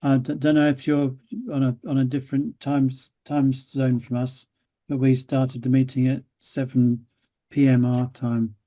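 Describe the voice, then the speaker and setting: A man runs an online meetup over a video call and chats easily with the attendees. His voice is warm and mellow and never bright. He speaks at a middle pitch in an easygoing way. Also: level tone, normal speed. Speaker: meetup organiser. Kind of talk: casual video call chat.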